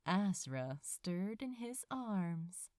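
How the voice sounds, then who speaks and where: A woman reading a romantic story aloud as a narrator, with expressive, unhurried, deliberate phrasing. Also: lowish voice, dry recording, studio quality. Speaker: podfic narrator.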